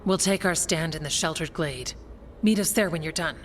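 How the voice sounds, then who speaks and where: An actress playing a practical, wryly calm warrior woman in a video game, speaking in a measured, matter-of-fact way. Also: low-pitched, slight background noise, close to an everyday voice. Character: steady, practical Grey Warden woman, calm and wryly dry. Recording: music behind the voice.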